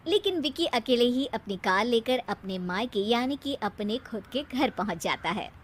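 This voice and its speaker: High-voiced woman narrating a cartoon story. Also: expressive, moderate pace, a little hiss or hum.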